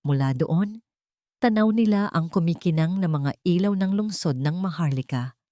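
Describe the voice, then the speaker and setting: A woman with a Filipino accent reads a scripted dramatic story as an audiobook narrator, in an earnest, measured way. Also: lowish voice, expressive, dark tone, dry recording, studio quality.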